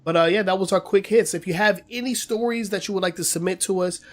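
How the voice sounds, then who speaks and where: A man's voice: sits high, fast. A man co-hosting a casual gaming news podcast, chatty with many fillers and slightly nasal from a cold. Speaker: podcast co-host.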